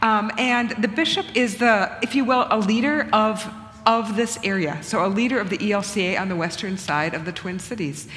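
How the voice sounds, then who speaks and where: A middle-aged woman bishop, speaking in an earnest, measured way and thinking aloud in a conversational church talk. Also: medium pitch, fairly bright tone, a little hiss or hum. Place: church sanctuary. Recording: long reverb of a church hall.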